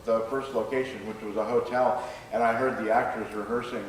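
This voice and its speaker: A man speaking as a screenwriter in a live question-and-answer session, with a halting, hesitant delivery. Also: medium pitch, fairly even intonation. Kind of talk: live Q&A after a staged reading. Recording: echoey room, distant mic, faint noise.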